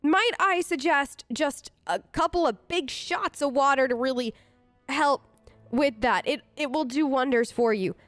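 A woman with a high voice, improvising as her video game character. She is chatty and informal, recorded dry and close, with faint music underneath. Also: a little slow, a bit bright, no room sound. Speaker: let's player role-playing her character.

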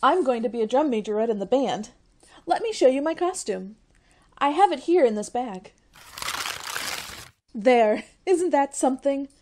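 An eager young college girl from a radio comedy, played by a woman. Her voice is high and animated, with a mellow tone. She is naive and gushing. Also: moderate pace, long pauses. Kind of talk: old-time radio comedy acting.

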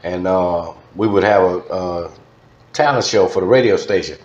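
A man's voice: sits low, normal speed, a little mellow. A man in his fifties with a Louisiana Southern accent, telling stories casually in an interview and often dropping "man" into his phrases. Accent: Southern American, Louisiana. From Louisiana, United States. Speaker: podcast guest, former radio DJ. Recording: slightly dull, echoey studio sound.

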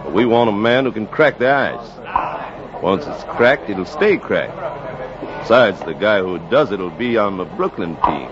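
An actor playing a cautious, matter-of-fact baseball scout in a 1940s radio drama. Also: male voice, lowish voice, lively intonation, unhurried, music in the background. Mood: frank. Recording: muffled old radio, crowd behind.

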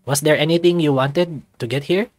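A man's voice: on the high side, moderate pace, no echo, pitched above the performer's own voice, lightly acted. A man voicing an upbeat, friendly young male otter guide in a visual novel, with an eager, breezy manner. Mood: encouraging. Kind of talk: visual novel character voice read aloud.

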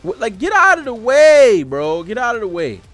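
Young adult American male streamer, very lively intonation, casual slangy profane commentary, close desk mic, music underneath. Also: very high-pitched, normal speed, dry recording. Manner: animated, slangy, profane reactions. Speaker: streamer reacting to a manga. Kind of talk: casual live read-along commentary. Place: home studio room with streaming setup. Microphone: desk microphone close by.

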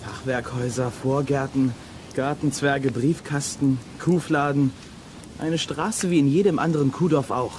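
A man's voice: mid-range voice, fairly even intonation, measured pace, a little mellow, slight background noise, natural voice. A man playing an impulsive, stubborn boy detective in a children's audio drama, blunt and impatient. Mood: frustrated.

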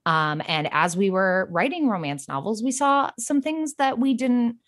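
A woman's voice: medium pitch, expressive, measured pace, a bit bright. A woman talking casually as a podcast guest, chatty and given to acting out little quotes as she explains. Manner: chatty, illustrates points with mimicked quotes. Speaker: podcast guest, co-creator and romance writer. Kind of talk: casual interview conversation.

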